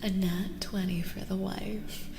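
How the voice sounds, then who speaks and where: Soft breathy lady doing asmr stream, slow n gentle, whispers a lot, echoey reverby sound w/ noise behind. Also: mid-range voice, level tone. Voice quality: breathy, often dropping into whispers. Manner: gentle, nurturing, repeats phrases softly. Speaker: streamer giving tarot card readings. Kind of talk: soft-spoken ASMR live stream, chatting with viewers. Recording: roomy reverb, clear background noise.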